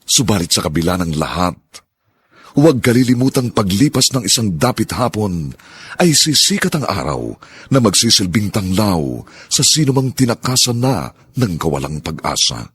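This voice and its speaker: A man announcing and narrating a radio drama with sweeping, drawn-out declamation. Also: on the low side, big pitch swings, a little hiss or hum. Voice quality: husky.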